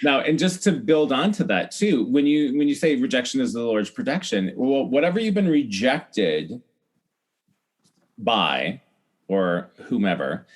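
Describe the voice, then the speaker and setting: A man speaking as a podcast guest in a casual, earnest, explanatory way, often halting to correct himself. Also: medium pitch, very animated. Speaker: podcast guest, celebrity hair stylist. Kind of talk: casual conversational interview.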